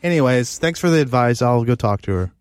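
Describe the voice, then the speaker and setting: A man in casual comedic banter, talking in a crude, sweary, halting way. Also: medium pitch, expressive, dry recording.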